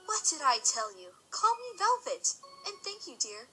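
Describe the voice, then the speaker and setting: A woman's voice: very high voice, very animated, moderate pace, bright, forceful tone, soft background music, exaggerated voice. An actress playing a gracious middle-aged mother in an audio drama.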